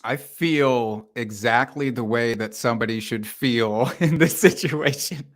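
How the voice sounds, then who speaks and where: A man's voice: mid-range voice, measured pace. A man in his thirties with a nasal voice, a guest in a casual chat, joking in a dry, understated way. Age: 30s.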